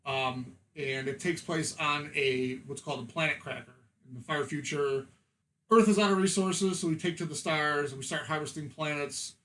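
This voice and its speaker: A man's voice: a little high, moderate pace, bright, forceful tone, poor audio. A man chatting as a podcast guest, explaining things in a casual, conversational way.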